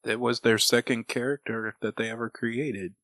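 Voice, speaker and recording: A man's voice: mid-range voice, steady tone, moderate pace, a bit bright, dry recording, clean studio sound. A man co-hosting a podcast, talking in a loose, casual way. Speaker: podcast co-host. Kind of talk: casual chat reviewing old radio shows.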